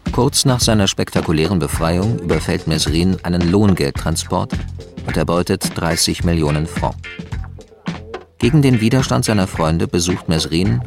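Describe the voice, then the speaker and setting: A man narrating a radio documentary drama from a script in a factual, measured way. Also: low voice, gravelly.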